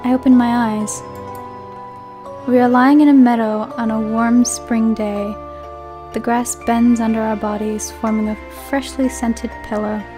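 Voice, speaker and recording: A woman's voice: fairly high pitch, flat delivery, a little slow, music in the background. A woman reading a visual novel aloud as a let's play host, breaking off into chatty asides and playful self-corrections. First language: English.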